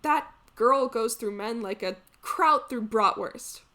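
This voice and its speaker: A woman's voice: on the high side, takes it slowly, pushed and bright. A woman playing a sassy, exasperated lady's maid in a stage farce, complaining wryly as if to an audience. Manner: wry, complaining, addressing the audience. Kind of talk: theatrical comic monologue.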